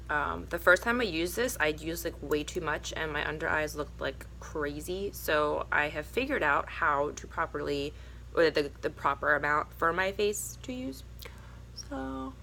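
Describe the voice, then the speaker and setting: A young woman with a raspy, hoarse voice from a cold, chatting casually and a little nervously through a makeup tutorial. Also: sits low, sharp tone, slight background noise, rough recording. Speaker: beauty vlogger.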